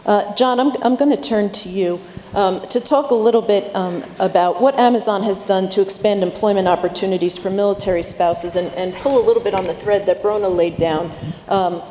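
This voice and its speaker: A woman's voice: fairly low pitch, dark tone, a little hiss or hum. A woman moderating a conference panel, speaking with enthusiasm and a rallying tone. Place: conference hall. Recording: echoey hall, faint ambience.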